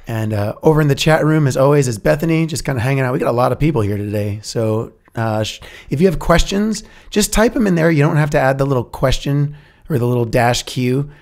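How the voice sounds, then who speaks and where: A young man with a froggy, hoarse voice, hosting a casual podcast chat and laughing often between filler words. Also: mid-range voice, expressive, fairly quick, darkish tone. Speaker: podcast host. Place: recording studio.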